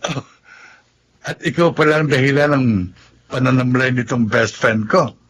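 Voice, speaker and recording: An actor with a husky voice and Filipino accent playing a friendly young man who is a grateful best friend, in a radio drama. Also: mid-range voice, normal speed, a bit bright. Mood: surprised, amused. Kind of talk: Tagalog radio drama acting.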